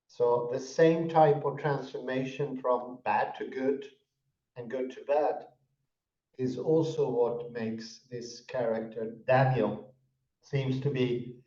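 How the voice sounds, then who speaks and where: A man's voice: mid-range voice, dark tone. A Swedish man in casual discussion, musing and repeating words as he thinks. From Sweden. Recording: distant, muffled, echoey.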